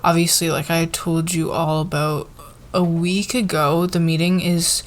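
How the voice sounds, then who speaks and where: Casting: actress as supportive woman boss for ASMR roleplay, lowish voice, very slow pace, soft halting meeting talk. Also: flat delivery, natural voice. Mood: appreciative, encouraging. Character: friendly, encouraging female boss leading a team meeting.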